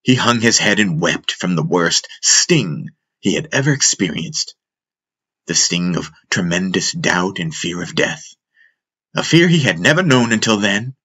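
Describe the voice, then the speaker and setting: A man narrating a fantasy audiobook in a measured, solemn, dramatic storytelling style. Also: sits low, sing-song, a bit bright, slightly rough.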